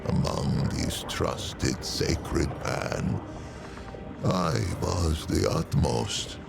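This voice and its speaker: A man playing an ancient undead high priest in a fantasy game, gravelly and wistful, savouring archaic, ceremonious phrases like an old storyteller. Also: expressive, extremely slow, exaggerated voice. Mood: grave, reminiscent. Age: older. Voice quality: gravelly, creaky. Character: ancient male lich, once high priest of the dead, wistful. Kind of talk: theatrical fantasy game acting. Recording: loud music under the voice.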